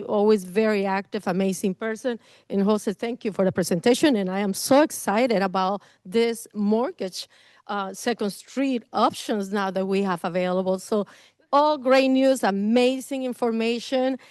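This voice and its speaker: A woman's voice: mid-range voice, darkish tone, smooth, no room sound, clean studio sound. A middle-aged woman from Costa Rica with a Costa Rican Spanish accent, a realtor speaking as guest at a business meeting, enthusiastic and effusive. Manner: enthusiastic, effusive, laughs between phrases.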